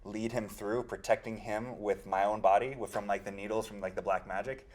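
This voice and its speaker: Male game player, lowish voice, casual and improvised, halting as he thinks aloud. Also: fairly even intonation, a little mellow, low-quality recording. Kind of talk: casual improvised description of play.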